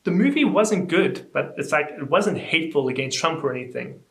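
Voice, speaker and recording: A young man chatting casually in a reaction vlog, reading comments aloud and reacting with animated disbelief. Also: normal speed. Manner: animated, incredulous, rising pitch when exasperated. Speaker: YouTuber. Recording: echoey room.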